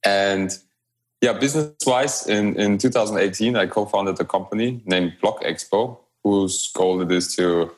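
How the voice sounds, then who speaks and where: A young man, a guest in a remote interview, speaking in a relaxed, hesitant way with fillers and restarts. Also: low voice. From Romania. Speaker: guest, blockchain company growth lead.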